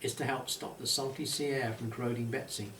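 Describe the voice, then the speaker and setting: A man's voice: mid-range voice, fairly even intonation, brisk, fairly bright tone, a little hiss or hum, close to an everyday voice. A man voicing a jolly, affectionate old fisherman grandfather with wry, folksy talk, recorded in an echoey room. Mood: wry, kindly. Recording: roomy, echoey, distant home recording.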